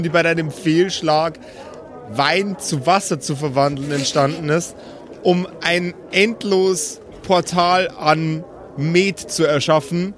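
A man with a slightly high voice serves as game master. He improvises narration for a tabletop role-playing game and puts questions to his players. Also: a bit bright.

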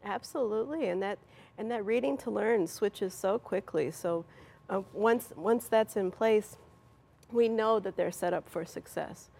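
A woman's voice: medium pitch, measured pace, vocal fry, darkish tone, husky. A woman giving conversational answers as a guest in an interview.

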